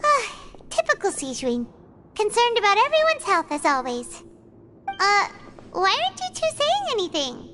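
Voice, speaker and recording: A woman voicing a tiny, excitable floating fairy companion who chatters on and refers to herself in the third person. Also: extremely high pitch, normal speed, very noisy, heavily acted. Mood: excited. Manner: talks about herself in third person, bubbly and nosy. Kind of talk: video game voice acting. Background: game music.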